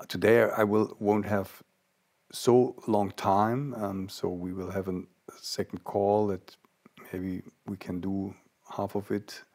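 Older male host, low voice, unhurried halting delivery, reflective conversation. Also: a little mellow. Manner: halting, word-searching delivery. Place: indoor room, seated.

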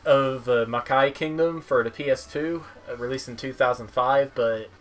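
A man doing casual game commentary as a streamer, halting as he goes and dropping wry asides. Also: medium pitch, fairly bright tone. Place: small room at home, indoors. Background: game music.

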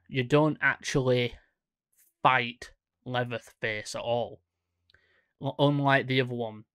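Slow-talking young man, rambling commentary. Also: medium pitch, fairly bright tone, smooth, no room sound, studio quality. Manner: rambling, self-correcting, many fillers. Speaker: horror channel host. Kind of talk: casual reaction commentary. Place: small room indoors at a desk. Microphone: studio mic on stand in front.

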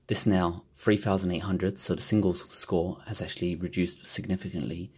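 A man's voice: low-pitched, a little fast, husky. A young man doing a casual tech vlog at his home desk, talking straight to the camera with chatty enthusiasm. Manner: chatty, gets loud and giddy with excitement. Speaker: tech reviewer. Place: home desk room with monitors, indoors.

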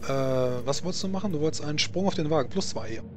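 A man improvising narration and rulings as game master of a tabletop roleplaying game, halting as he feels for words. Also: a little high, animated, darkish tone. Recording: clearly noisy home voice recording.

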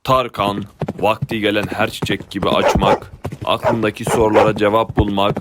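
A man playing the solemn storyteller of an epic cartoon legend, narrating with measured dramatic phrasing. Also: on the low side, flat delivery, natural voice. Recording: clearly audible music behind narration.

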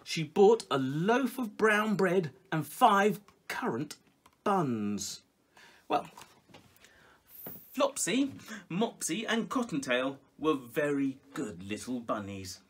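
A middle-aged man reading a children's story expressively and theatrically, with dramatic pauses and exclamations. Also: fairly high pitch, big pitch swings, slightly rough. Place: small music room at home, indoors.

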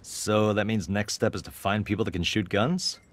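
A man's voice: fairly low pitch, steady tone, moderate pace, slight background noise, lightly acted. A man voicing a good-natured, goofy gang member in a video game, casual and drily comic.

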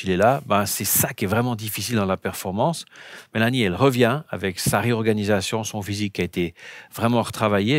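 Un homme d'âge mûr, invité sur un plateau télé, qui explique longuement en cherchant ses mots. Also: male voice, fairly low pitch, dry recording, clean studio sound. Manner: explanatory, searching for words, repeats himself. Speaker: guest fitness coach. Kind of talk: TV interview explanation. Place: TV studio set.

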